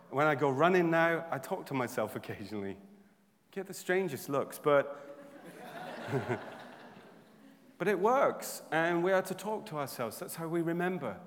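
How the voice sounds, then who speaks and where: A man preaching a sermon, turning from quiet reflection to loud, humorous exhortation. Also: fairly high pitch, lively intonation, unhurried, long pauses. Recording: long hall reverb.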